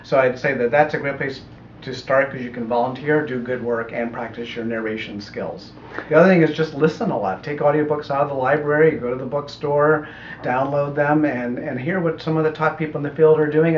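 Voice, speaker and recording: A middle-aged American man explaining his audiobook work in a measured, thoughtful way during an interview. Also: a little high, fairly even intonation. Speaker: audiobook producer being interviewed. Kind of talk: conversational interview answers. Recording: echoey room, muffled, faint noise.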